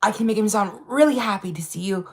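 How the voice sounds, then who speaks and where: A woman's voice: medium pitch, quick pace, slightly rough. A woman hosting a casual livestream, chatting in an upbeat way, repeating herself and greeting viewers as they arrive. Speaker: livestream host.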